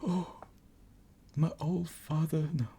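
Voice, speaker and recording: A woman voicing a gentle male faun who sobs and whispers in guilt. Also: low voice, steady tone, slow, breathy edge, pitched above the performer's own voice, heavily acted. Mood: guilty. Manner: sobbing, whispery delivery.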